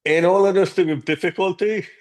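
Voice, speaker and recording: A man's voice: sits high, steady tone, a little mellow. Earnest Hungarian-born man, interview guest. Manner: earnest, measured, with frequent ums and restarts. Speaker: app co-founder, guest. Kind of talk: interview answers.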